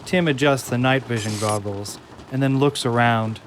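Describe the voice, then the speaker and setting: A man narrating an audio drama from a script in a deliberate, suspenseful way. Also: medium pitch, a little hiss or hum. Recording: loud rain and storm effects behind.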